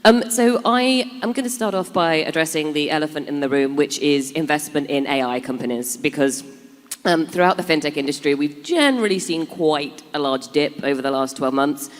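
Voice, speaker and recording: A woman's voice: low-pitched, expressive, normal speed. A woman hosting a live panel in an informal, conversational style as moderator. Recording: echoey conference hall.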